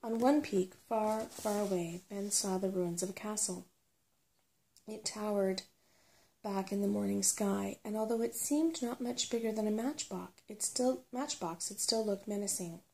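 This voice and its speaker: A woman's voice: medium pitch, fairly even intonation, a little slow, vocal fry, darkish tone, poor audio. A woman reading a children's fantasy novel aloud as ASMR storybook narration. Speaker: storybook reader.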